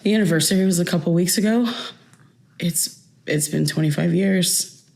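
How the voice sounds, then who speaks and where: A daughter in her thirties with a somewhat low voice. She talks informally and haltingly, with a raw, insistent edge. The delivery is naturalistic audio drama. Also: creaky, darkish tone. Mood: confiding, subdued. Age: 30s. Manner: conversational, hesitant, informal. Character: wounded thirty-three-year-old daughter, insistent and raw.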